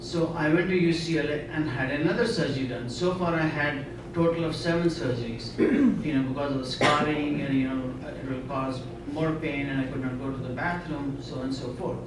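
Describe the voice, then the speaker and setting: A man's voice: on the high side, steady tone, fairly quick, hardly any pauses, background noise. Indian-born man, echoey conference hall. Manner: earnest storyteller, frequent "you know". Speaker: conference speaker. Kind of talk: personal testimony talk. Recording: echoey hall, speaker far from mic.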